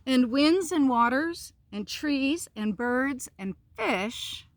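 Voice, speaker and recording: A woman reading a children's picture book aloud outdoors, with expressive phrasing and casual asides. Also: high voice, slow, no room sound. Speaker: storyteller, pastor. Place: outdoors in a park.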